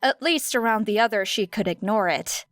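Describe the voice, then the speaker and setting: A woman reading an audiobook story dramatically, with deliberate, expressive phrasing and weighty pauses. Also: medium pitch, dry recording, studio quality. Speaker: audiobook narrator. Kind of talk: dramatic scripted fiction narration.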